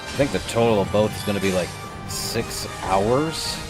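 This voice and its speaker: A man's voice: lowish voice, normal speed. A man hosting a reaction video, commenting casually in short, muttered asides. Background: game music.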